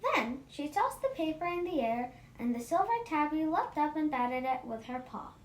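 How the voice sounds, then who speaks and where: A woman reading a children's story aloud in an expressive, animated manner. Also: normal speed. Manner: animated, acts out dialogue. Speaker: storybook reader for a children's book club. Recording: roomy echo, faint rumbling noise.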